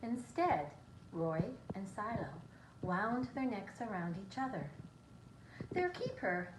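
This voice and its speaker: A woman's voice: mid-range voice, very animated, takes it slowly. A woman on a school staff reading a children's picture book aloud. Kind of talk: children's storybook read-aloud. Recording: quiet, echoey room with clear noise.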